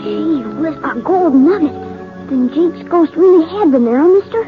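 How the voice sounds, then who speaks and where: A woman's voice: very high-pitched, normal speed. A woman playing an eager, curious little boy in a 1950s radio drama. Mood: excited. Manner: breathless curiosity. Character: eager wide-eyed little boy named Johnny. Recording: tinny old radio broadcast, music behind.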